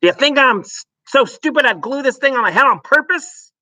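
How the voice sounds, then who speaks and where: A man voicing a furious, blustering middle-aged father who yells in high, strained shouts. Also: expressive, a little fast, dry recording, exaggerated voice. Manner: yelling, indignant.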